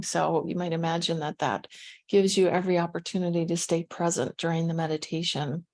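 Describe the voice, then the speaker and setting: A woman leading a guided meditation, giving gentle, unhurried instructions with pauses between phrases. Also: medium pitch.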